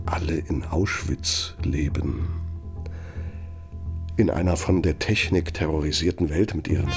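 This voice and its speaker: Radio play role: ageing male architect, low gravelly voice, self-important and exacting, grand deliberate rhetoric. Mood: grandiose, bitter. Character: self-important ageing German architect dictating a bitter, nostalgic letter. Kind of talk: radio play monologue, dictated letter.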